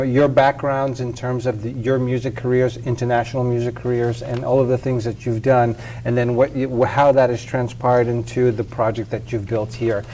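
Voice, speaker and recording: A man's voice: medium pitch, mellow, a little hiss or hum, rough recording. A man hosting an interview, asking friendly, rambling questions full of fillers.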